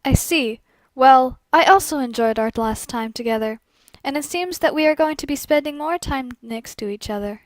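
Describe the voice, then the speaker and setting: A poised young aristocratic lady played by a woman in a fan fiction reading. Her voice is high and closely recorded with little room sound. She speaks formally and with measured, genteel composure. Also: no room sound, natural voice. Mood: poised, curious. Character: composed, elegant young gambling lady in Gothic dress.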